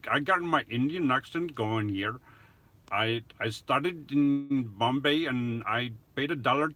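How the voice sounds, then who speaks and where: A man's voice: mid-range voice, pushed and bright. A middle-aged man with a foreign accent, chatting casually with his live-stream viewers and often restarting his sentences with small laughs. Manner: rambling, chuckling, many restarts. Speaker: YouTube channel host answering live chat.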